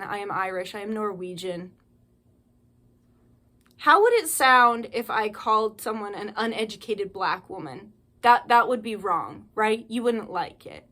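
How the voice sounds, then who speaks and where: A woman's voice: mid-range voice, bright, forceful tone. A young woman delivering a heated, profanity-laced monologue straight to her viewers, ranting and pleading. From United States. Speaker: spiritual channel host. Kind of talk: impassioned monologue to camera.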